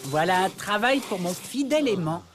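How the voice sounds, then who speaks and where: A woman's voice: medium pitch, lively intonation, normal speed, noisy background, natural voice. A woman voicing a confident, principled little adventurer in a French cartoon, assured and declarative. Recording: echoey hall, music behind.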